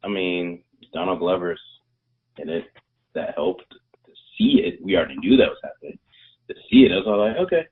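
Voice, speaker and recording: A man's voice: sits low, lively intonation, normal speed. A man co-hosting a casual movie podcast in a laid-back, wry way. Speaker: podcast co-host. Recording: tinny phone-line audio.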